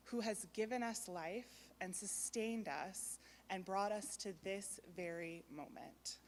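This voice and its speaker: Earnest woman speaking over echoing loudspeakers. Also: medium pitch, a little hiss or hum. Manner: earnest, measured, slows for emphasis. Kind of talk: ceremonial speech. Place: outdoor ceremony with public address. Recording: echoey public address from far off.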